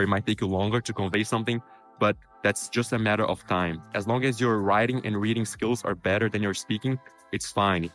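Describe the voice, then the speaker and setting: A man's voice: on the low side, fairly bright tone. A man from Brazil whose first language is Portuguese, giving casual advice to camera in an informal way with frequent fillers. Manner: quick, informal, frequent "you know" fillers. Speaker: vlogger giving career tips.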